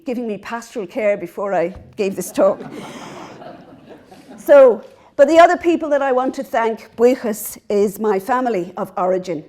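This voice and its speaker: A middle-aged Irish woman, a native Irish speaker, giving a public lecture as a professor, earnest and laughing at herself now and then. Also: a little high. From Ireland. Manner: warm, earnest, laughs at herself between points. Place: lecture hall.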